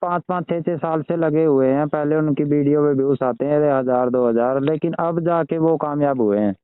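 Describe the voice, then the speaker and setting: A man's voice: fairly high pitch, normal speed, clean tone, no echo. A man chatting casually on a phone call as a network marketer. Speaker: network marketer asking for advice. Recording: tinny phone call recording.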